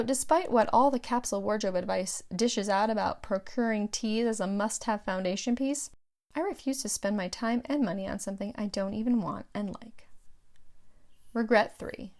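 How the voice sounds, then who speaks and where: A middle-aged woman narrating her own audiobook from a script, with measured, clearly phrased delivery. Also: on the high side, a little mellow.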